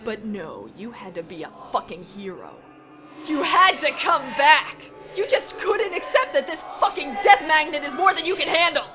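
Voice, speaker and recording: A woman's voice: high-pitched, big pitch swings, moderate pace, voice pushed up. A woman voicing an evil mirror-self cyborg pony mare in a story reading, mocking and savage, ranting with profanity. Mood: bitter, sneering. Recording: music bed behind the voice.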